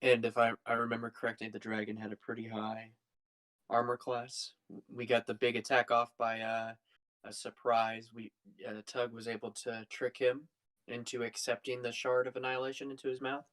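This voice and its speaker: A man's voice: mid-range voice, flat delivery, moderate pace, fairly bright tone. A man playing a tabletop role-playing game, discussing the next move casually.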